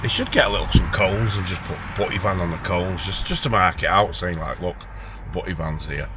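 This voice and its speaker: A man's voice: sits low, a little fast, music in the background. A man in his late forties with a Northern English accent, rambling informally and thinking aloud as he chats to viewers in an unscripted vlog. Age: late 40s. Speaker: van-life vlogger. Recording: noisy, traffic and vehicle ambience. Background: traffic and vehicle noise.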